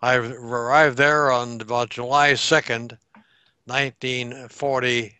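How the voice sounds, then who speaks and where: A man in his nineties, a war veteran, recalling his past slowly over a video call, pausing to get dates right. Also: medium pitch, steady tone, bright, forceful tone, clean tone, no room sound. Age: 90s. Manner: slow, reflective recollection with pauses to recall dates. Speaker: World War Two veteran interviewee. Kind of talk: oral history interview over video call. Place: home room by a staircase. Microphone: headset on video call.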